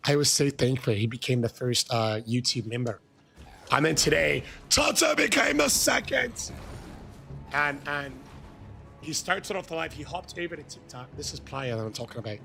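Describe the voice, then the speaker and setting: An Irish man streaming a video game live, chatting with viewers and thanking them. He is hoarse from losing his voice and gets so excited that he breaks into shouts. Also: fairly high pitch, moderate pace, soft background music. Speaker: gaming livestreamer. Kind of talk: casual live-stream chat.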